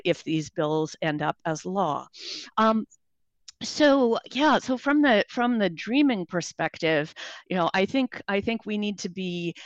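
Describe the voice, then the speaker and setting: A radio guest with a smooth, resonant voice who speaks haltingly and restarts often. The recording is dry and slightly muffled. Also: medium pitch, a bit bright, no echo. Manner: halting, many restarts and fillers. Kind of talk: radio interview.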